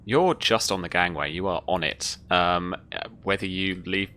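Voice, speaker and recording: A game master narrating a tabletop roleplaying session in a casual, improvised, halting way. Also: deep voice, bright, forceful tone, slight background noise.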